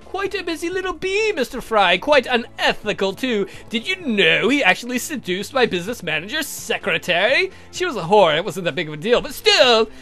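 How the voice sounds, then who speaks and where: A man voicing a sardonic, theatrical vampire count for a visual novel game, mocking and campy in a drawn-out way. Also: mid-range voice, very animated, sharp tone, faint music behind. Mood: stern, probing.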